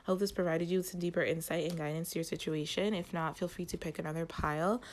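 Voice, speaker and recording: A woman reads tarot cards for her audience, her voice nasal and stuffy from allergies. She sits a little low in pitch for a woman and keeps pausing and restarting, filling the gaps with "like" as she feels her way toward the next phrase. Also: fairly even intonation. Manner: halting, searching for words, many "like" fillers. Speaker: tarot reader and channel host. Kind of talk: spoken tarot reading to viewers.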